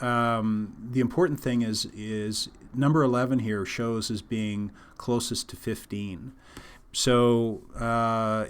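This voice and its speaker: A man explaining a technical system as a trainer, in an unscripted, halting instructional style. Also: mid-range voice, clear, resonant tone.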